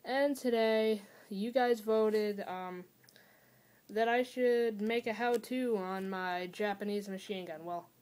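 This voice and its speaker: Slow, hesitant young woman building toys. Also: medium pitch, a little mellow. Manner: slow, hesitant, many fillers and pauses. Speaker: toy-building tutorial host. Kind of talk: casual how-to narration. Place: small dim room at home.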